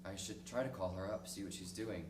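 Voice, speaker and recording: A man acting a lonely, cynical middle-aged diarist in a dramatic stage reading, speaking reflectively and ruefully with halting pauses. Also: sits low, lightly acted. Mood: nostalgic, rueful. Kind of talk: dramatic monologue in a one-act play reading. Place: small theater stage, recorded from the audience distance. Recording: distant, echoey stage recording with noisy hum.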